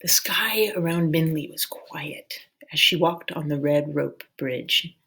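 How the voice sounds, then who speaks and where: A woman reading a children's novel aloud as a storyteller, in a measured, deliberate way. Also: sits low, fairly bright tone, husky.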